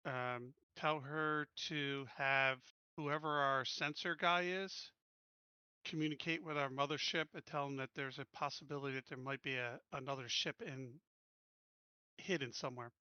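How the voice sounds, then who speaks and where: A man's voice: mid-range voice, fairly even intonation, moderate pace, bright, forceful tone, smooth, no echo, highs cut off. A man chatting casually as a player in a tabletop roleplaying game.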